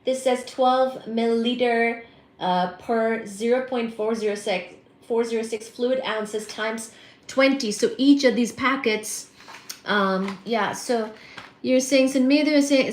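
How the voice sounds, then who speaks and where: A woman's voice: fairly high pitch, unhurried, reverberant. Chatty Bengali woman with a mixed accent. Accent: mixed Indian and American. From Kolkata, India. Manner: chatty, answers viewers, asides and fillers. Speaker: livestream host. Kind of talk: casual livestream chat reading comments.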